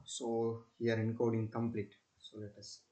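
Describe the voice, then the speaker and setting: A man narrating a step-by-step software demonstration in a halting, repetitive way. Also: lowish voice, monotone. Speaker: student presenter demonstrating software. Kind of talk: screen-recorded tutorial demonstration.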